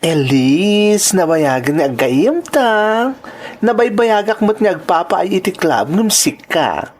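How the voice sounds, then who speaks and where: A woman's voice: fairly low pitch, very animated, slow pace, a little mellow, full character voice. A woman with a Filipino accent playing a brash, gossipy young club entertainer who teases and pries in a radio-style drama. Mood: teasing, prying. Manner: teasing, nosy, slangy.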